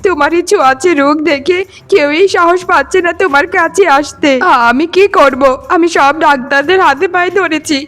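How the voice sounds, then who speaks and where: High-pitched, reproachful cartoon wife, acted by a woman. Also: fairly bright tone. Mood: blaming, despairing. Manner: scolding, lamenting, repeats phrases for emphasis. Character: aggrieved, reproachful childless wife of a greedy rich man. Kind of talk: melodramatic Bengali cartoon dialogue.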